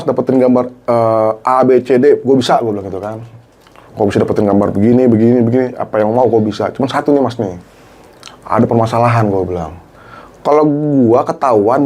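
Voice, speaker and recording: A middle-aged man, a former TV cameraman, telling a story in casual slang and acting out quoted dialogue. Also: medium pitch. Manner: animated, acts out quoted dialogue, Jakarta slang.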